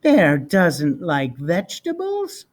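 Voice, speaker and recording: A man plays a small boy in a storybook, pitched high and full of swoops. The kid is inquisitive and blunt, with plain childlike phrasing. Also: wildly swooping pitch, takes it slowly, heavily acted. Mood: puzzled, defensive. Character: curious, blunt little boy listening to bedtime story.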